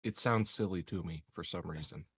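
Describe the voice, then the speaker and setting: A man's voice: low voice, moderate pace, creaky, slightly rough, no echo, clean studio sound. A man chatting casually as a player in a tabletop roleplaying game.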